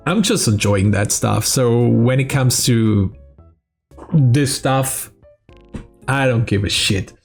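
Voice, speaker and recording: A man's voice: medium pitch, slow, creaky, pauses often, breathy edge, soft background music. A young man from South Tyrol with a German accent, a streamer casually answering fan questions, swearing and laughing between answers. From South Tyrol, Italy. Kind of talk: casual Q&A vlog.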